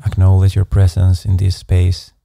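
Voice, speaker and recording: A man narrating a guided meditation, giving calm, measured instructions in an unhurried way. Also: deep voice, gravelly, no room sound. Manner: slow, calm, measured instruction with soft pacing.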